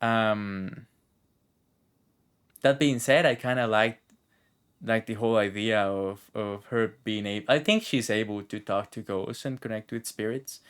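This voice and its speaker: A man's voice: lowish voice, measured pace, smooth. A man chatting and rambling as he thinks aloud, commentating casually on a video game he is playing. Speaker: game streamer. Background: video game music and dialogue.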